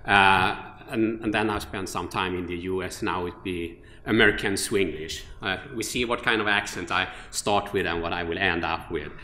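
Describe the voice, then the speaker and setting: A middle-aged man from Sweden with a Swedish accent, an orthopedic surgeon giving a conference lecture at a podium microphone, relaxed, with many fillers and restarts. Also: low voice, normal speed, hardly any pauses. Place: large lecture hall with an audience. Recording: echoey lecture hall.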